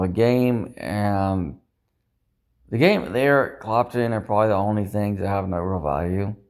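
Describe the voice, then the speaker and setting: Low-voiced man rambling to himself. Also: darkish tone. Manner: self-doubting, ruminating, mildly profane. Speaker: vlogger. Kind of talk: rambling casual vlog monologue.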